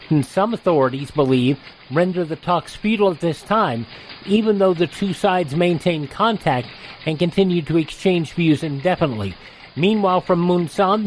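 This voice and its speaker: Higher-voiced man hosting radio, noisy recording. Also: darkish tone. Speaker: radio host. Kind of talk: host reads, sponsor pitch and old news headlines.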